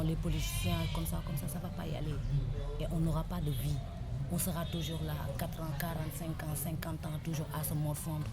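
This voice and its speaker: Young Senegalese woman rapper, Senegalese French accent, deep voice, impassioned interview, noisy recording. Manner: impassioned, exhorting, repeats her points. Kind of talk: earnest interview talk. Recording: noisy background ambience.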